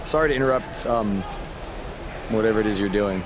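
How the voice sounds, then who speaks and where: A man's voice: on the low side, brisk, natural voice. Man as an earnest everyman wrestler, noisy background. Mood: awkward, hesitant. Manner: plain, unassuming, conversational. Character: earnest, hesitant young male wrestler, everyman hero. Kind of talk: video game cutscene acting.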